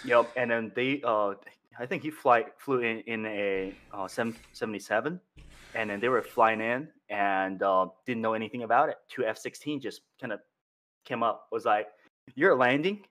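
A man's voice: mid-range voice, moderate pace, fairly bright tone. A man from China, a podcast guest, telling a story in a rambling way with many fillers and restarts. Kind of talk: casual storytelling chat.

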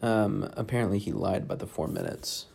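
A man's voice: fairly low pitch, big pitch swings, fairly quick, creaky, a little mellow, husky. A man chatting casually and hesitantly in a self-deprecating way, sometimes reading aloud. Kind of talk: casual rambling chat, with some reading aloud from a book.

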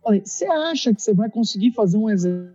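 Man from Recife, husky, dental guest spinning stories, lo-fi dry sound. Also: high voice, fast, dry recording, faint music behind. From Brazil, Recife. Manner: animated, acts out dialogue, laughs often. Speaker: dentist, interview guest. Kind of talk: casual storytelling in an interview.

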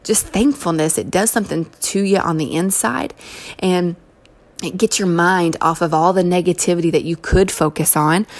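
Encouraging American woman speaker. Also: lowish voice. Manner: conversational, encouraging, emphatic repetition. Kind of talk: inspirational talk to an audience.

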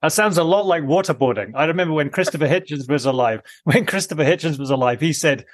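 A man around fifty with a husky voice, chatting casually in a musing, opinionated way and often interrupting himself. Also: fairly high pitch, expressive, fast talker. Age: late 40s to early 50s. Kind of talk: casual conversation.

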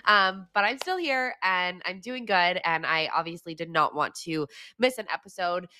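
A young woman hosting a podcast, chatting frankly with fillers, her voice raspy and congested from a cold. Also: on the low side, moderate pace, sharp tone. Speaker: podcast host. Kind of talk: casual solo podcast talk; scripted intro over music.

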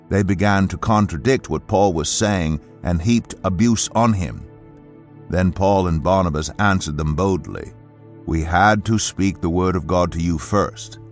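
Male audio Bible narrator, low voice, scripted scripture reading over music.

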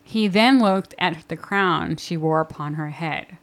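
A woman in her thirties with a deeper voice, co-hosting a podcast and recapping book chapters in casual, giggly chat that often trails off. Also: measured pace. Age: 30s. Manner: giggly, chatty, often trails off mid-sentence. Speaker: podcast co-host.

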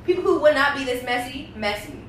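Young woman cracking jokes on a podcast, highish voice, echoey room. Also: lively intonation, quick pace, a little hiss or hum. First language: Spanish. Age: young adult. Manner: joking, playful, theatrical. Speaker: student podcast co-host. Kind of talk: casual chat.

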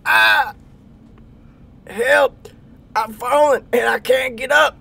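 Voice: sits high, slow pace, gravelly, sharp tone, full character voice. A performer playing a hapless, defensive country man in a comedic radio play, folksy and exasperated. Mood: annoyed.

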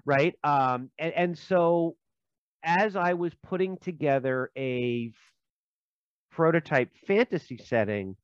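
Man from Minnesota, halting and joking. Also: a little high, animated, smooth, no room sound, highs cut off. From Minnesota, USA. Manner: halting, many fillers and restarts, joking. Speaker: guest, game designer. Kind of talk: casual interview chat. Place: home.

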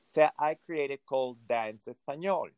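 A middle-aged man with a Venezuelan accent, a ballet director speaking in an interview, halting and repeating himself, with many "you know"s. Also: mid-range voice, a little mellow, clear, resonant tone, no echo. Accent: Venezuelan Spanish. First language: Hungarian. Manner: halting, repeats words and restarts, says "you know" often. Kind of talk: conversational interview.

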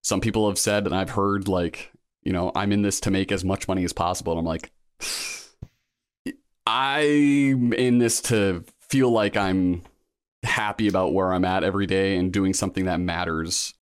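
A man's voice: sits low, fairly even intonation, moderate pace, smooth, no echo, studio quality. A man in his thirties, a guest in a casual interview, talking in a reflective, rambling way with many fillers and restarts. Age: 30s. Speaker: guest, law school applicant.

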